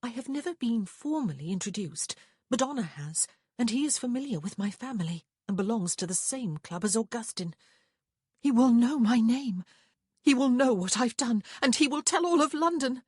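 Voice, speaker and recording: A woman voicing an anxious, proper young English gentlewoman in an audiobook, with breathless, urgent, emphatic delivery. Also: mid-range voice, animated, a little fast, breathy edge, dry recording, clean studio sound. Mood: urgent, hushed. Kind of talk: audiobook character dialogue.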